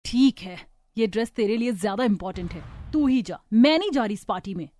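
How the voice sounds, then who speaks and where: Jealous, sulky young elder sister voiced by a woman, high-pitched. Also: lively intonation, brisk, dark tone, lightly acted. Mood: sulky, demanding. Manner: petulant, demanding, bitter. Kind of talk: Hindi cartoon story dubbing.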